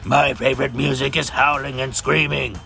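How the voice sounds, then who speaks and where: A performer voicing a scary pumpkin henchman from a children's storybook, gruff and menacing. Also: medium pitch, steady tone, moderate pace, pushed and bright, faint music behind.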